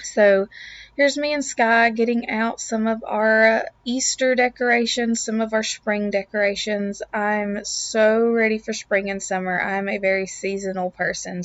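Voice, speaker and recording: Raspy-voiced young mom vlogging. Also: female voice, a little high, no room sound, muffled recording. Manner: upbeat, earnest, faith-filled. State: lingering cough, throat clearing. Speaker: lifestyle vlogger, mom of two. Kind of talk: casual vlog voiceover. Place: home, voiceover.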